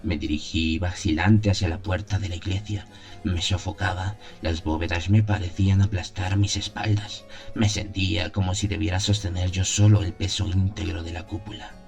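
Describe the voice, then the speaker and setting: Deep, dark-voiced man narrating gothic horror. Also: fairly even intonation, moderate pace. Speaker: narrator of an audio horror story. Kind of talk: dramatic scripted first-person narration of a gothic tale. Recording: music behind the voice. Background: music.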